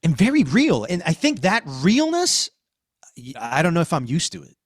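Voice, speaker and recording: A man hosting a podcast, discussing and reviewing in a conversational, emphatic way with deliberate phrasing. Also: a little high, huge pitch swings, no room sound, studio quality. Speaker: podcast host.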